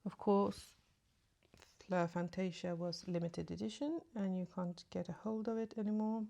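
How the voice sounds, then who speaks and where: A woman chatting casually through a makeup tutorial, speaking softly and often half-whispering, with a slight cold and a sore throat. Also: lowish voice, normal speed, vocal fry. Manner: soft, often half-whispered asides while applying makeup. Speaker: beauty vlogger. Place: at home in front of a mirror.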